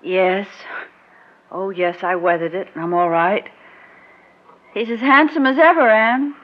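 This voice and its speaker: An American actress playing a composed, resolute, quietly wistful married woman in a mid-century radio drama. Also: medium pitch, unhurried, natural voice. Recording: dull old radio recording.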